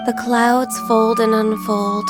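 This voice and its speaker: Casting: gentle, melancholy half-immortal woman in a game, highish voice, formal, resigned, over clear music. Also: flat delivery, slow. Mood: wistful resignation. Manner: formal, soft-spoken, resigned. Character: gentle, melancholy half-immortal female secretary, reserved and dutiful. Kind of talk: video game cutscene acting.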